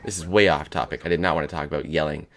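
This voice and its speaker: A hoarse young man in his twenties rambling crudely and jokily as the host of a gaming channel. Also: a bass voice, fairly even intonation, rapid-fire, a little hiss or hum. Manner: rambling, crude, jokey, lots of "dude" and "man". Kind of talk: casual rambling commentary over gameplay.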